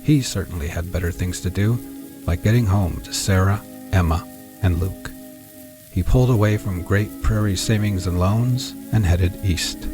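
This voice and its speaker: A man with a husky voice reading his own fiction aloud as a scripted audiobook narration. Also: low voice, normal speed, over music.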